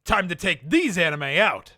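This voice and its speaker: A man voicing a bombastic army commander who barks orders with theatrical swings between calm and yelling. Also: sits high, moderate pace, no room sound, studio quality, exaggerated voice. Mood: commanding. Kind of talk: comedic game-character voice lines.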